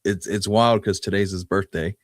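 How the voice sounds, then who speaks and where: Low-voiced man in relaxed conversation. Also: normal speed. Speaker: podcast co-host. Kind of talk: casual chat.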